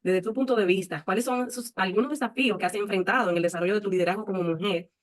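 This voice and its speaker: A woman's voice: medium pitch, quick pace. A woman moderating a panel, posing questions to the speakers in a courteous, orderly way.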